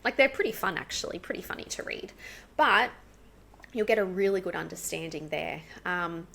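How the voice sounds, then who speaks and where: An Australian woman, an English coach, talking fast and animated as a guest in a casual interview. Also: fairly low pitch, creaky.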